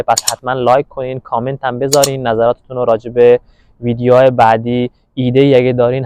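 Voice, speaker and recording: Male street interviewer, mid-pitched, rattles off lines at high speed. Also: muffled recording, noisy background. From Iran. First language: Persian. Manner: fast, hypey, repeats words when asking. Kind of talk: street interview and channel intro.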